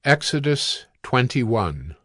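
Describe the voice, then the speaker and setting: A man's voice: mid-range voice, very animated, slow, sharp tone, dry recording, clean studio sound. A man narrating a dramatized scripture production in a scripted storytelling style.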